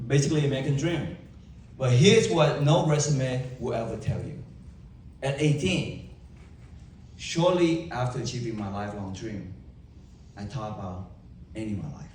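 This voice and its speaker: Vietnamese accent guy giving a motivational talk, lots of pauses and big questions, super echoey hall, mic sounds far, slight hum behind. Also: mid-range voice, a little mellow. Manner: emphatic, dramatic pauses, rhetorical questions. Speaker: motivational speaker. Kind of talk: keynote speech to a live audience. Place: large event hall.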